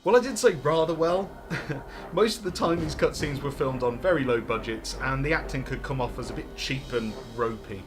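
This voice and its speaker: A man's voice: medium pitch, moderate pace. A man presenting a retro game review in scripted voice-over, conversational with lightly wry asides. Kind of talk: scripted narration over video. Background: music.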